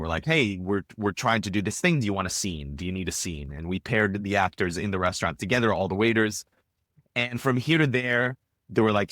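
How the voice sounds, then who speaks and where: A man's voice: on the low side, a bit bright, no room sound, clean studio sound. A man, chatting as a podcast guest and rambling with many fillers and restarts. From Israel. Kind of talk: casual interview chat.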